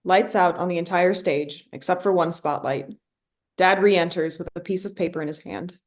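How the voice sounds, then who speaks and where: A woman's voice: fairly low pitch, normal speed. A woman reading scripted stage directions as the narrator of a play reading.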